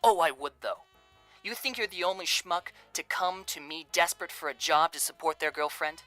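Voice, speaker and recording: An actor playing a slangy, falsely genial and menacing 1930s crime boss in a radio drama. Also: male voice, on the high side, normal speed, bright, forceful tone, soft background music. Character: smooth, menacing male crime boss of Depression-era New York.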